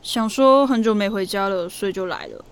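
A woman's voice: mid-range voice, expressive, fairly quick, clean tone, close to an everyday voice. A woman playing an anxious, sincere young daughter in an audio drama, with a Taiwanese Mandarin accent and a pleading manner. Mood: gentle. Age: young adult. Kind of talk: audio drama acting with reflective narration.

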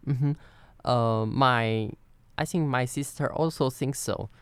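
Clear-voiced Taiwanese man telling a story. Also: mid-range voice, takes it slowly, fairly bright tone, dry recording. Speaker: podcast co-host. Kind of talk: semi-scripted personal storytelling.

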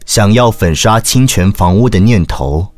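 An actor voicing an earnest, determined priest who narrates his memoir in audio drama style, measured and expressive. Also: on the low side, no room sound. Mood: earnest, stirred. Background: music behind the voice.

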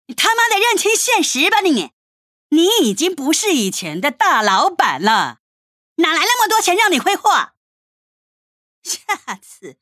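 Radio drama wife: embittered mother, very high-pitched, animated, sarcastic nag. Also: unhurried, no room sound, studio quality, full character voice. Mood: scolding, threatening. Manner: scolding, sarcastic, foul-mouthed. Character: furious, embittered wife and mother drowning in family debt.

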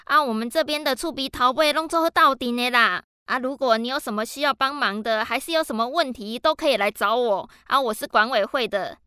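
A chatty nosy auntie. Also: female voice, high-pitched, sharp tone, clean tone, no echo, clean studio sound. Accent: Taiwanese Mandarin. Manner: chatty, drawn-out fillers, pushy friendliness. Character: nosy chatty middle-aged neighbor woman, committee busybody. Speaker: neighborhood committee member. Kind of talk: radio drama acting.

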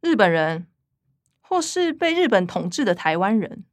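A woman's voice: medium pitch, sing-song, moderate pace, no echo, lightly acted. A woman acting an earnest, fussy Taiwanese younger sister, close and dry. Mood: earnest explaining. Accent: Taiwanese Mandarin. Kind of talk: radio drama acting.

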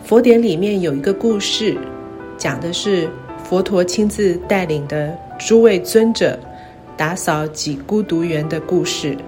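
A middle-aged woman with a Taiwanese Mandarin accent gives a scripted, reflective talk in measured, careful phrases. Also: on the low side. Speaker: university president. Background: background music.

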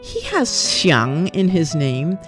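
A woman hosting an interview show, who speaks in a friendly way and often gives quick "oh" and "mm-hmm" responses. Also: low-pitched, very animated, faint music behind. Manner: friendly, quick acknowledgments, small laughs. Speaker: radio show host.

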